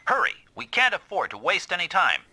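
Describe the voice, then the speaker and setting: A man's voice: sits high, wildly swooping pitch, brisk, background noise. A man voicing a stern, commanding squad boss in an anime-style video game dub, curt and authoritative. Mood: impatient.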